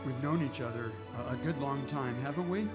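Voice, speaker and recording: A man playing an amiable, somewhat awkward homicide inspector in an old-time radio detective drama, speaking casually and haltingly. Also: mid-range voice, over music, lightly acted. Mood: awkward, bashful. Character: friendly, hesitant male police homicide inspector.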